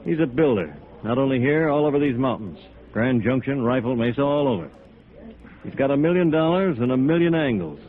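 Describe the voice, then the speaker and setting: Tinny vintage radio, male actor as bitter American small-town outcast, wry, resigned, medium pitch. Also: expressive, fairly quick, long pauses, natural voice. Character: bitter, beaten-down male builder turned outcast. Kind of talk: 1950s radio drama acting. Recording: thin, tinny old radio recording.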